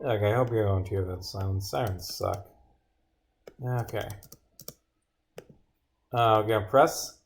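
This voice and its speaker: A man's voice: on the low side, unhurried, vocal fry, long pauses, a little hiss or hum. A man livestreaming as a game developer, reading his own script aloud theatrically and dropping into muttered asides. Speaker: streamer and visual novel developer.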